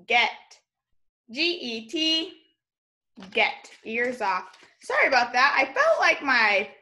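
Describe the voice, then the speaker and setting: A young woman teaching small children in a video lesson, animated and encouraging, spelling out and enunciating words as she invites them to repeat. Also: high-pitched. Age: young adult. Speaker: elementary school teacher. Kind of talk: early-grade video lesson to children, call and response. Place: room in a home, indoors.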